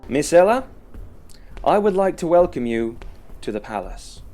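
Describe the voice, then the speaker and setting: A man's voice: fairly high pitch, big pitch swings, a little slow, dark tone, soft background music. A man playing a polite, earnest captain of the royal guard in an amateur fairytale audio drama. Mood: gracious. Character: courteous, eager young royal guard captain.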